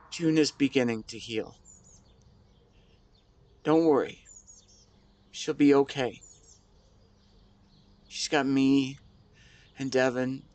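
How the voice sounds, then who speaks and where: A man playing a grieving, tender husband in an intimate audio drama monologue, speaking haltingly and earnestly to his late wife. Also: mid-range voice, natural voice. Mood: gentle, wistful. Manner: halting, earnest, emotional delivery with pauses. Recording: outdoor ambience with birdsong behind. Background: birdsong and nature ambience.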